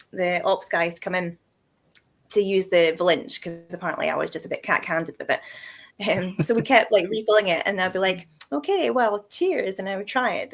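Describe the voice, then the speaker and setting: A woman hosting a relaxed chat, telling lively stories and laughing often. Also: medium pitch. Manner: chatty storyteller, laughs often. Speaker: whisky shop host. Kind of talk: casual chat.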